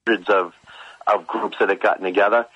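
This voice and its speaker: A man's voice: on the low side, steady tone, a little fast, husky, no room sound, dull audio. A man telling a rambling, earnest story as a caller on a recorded radio call. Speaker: prank caller to a radio station.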